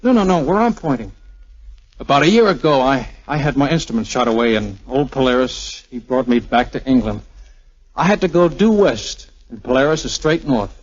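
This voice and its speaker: An actor with an American accent playing a wry, guarded, lovestruck major in a 1940s radio drama, in a halting, casual, slangy way. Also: male voice, medium pitch, lively intonation, close to an everyday voice. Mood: tender, hesitant. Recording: muffled old 1940s radio recording with music.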